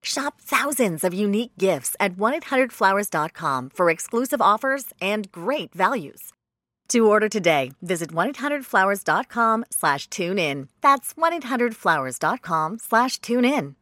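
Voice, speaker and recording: A woman's voice: big pitch swings, measured pace, a bit bright, no room sound. Upbeat woman reading an ad. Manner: upbeat sales pitch. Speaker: ad announcer. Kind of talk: scripted ad read.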